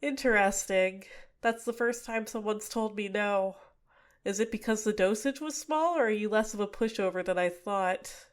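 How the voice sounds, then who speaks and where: A young woman voicing a cute but manipulative female villain in a soft-spoken, teasing ASMR roleplay, recorded close to the mic. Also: medium pitch, moderate pace, lightly acted. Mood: teasing, taunting.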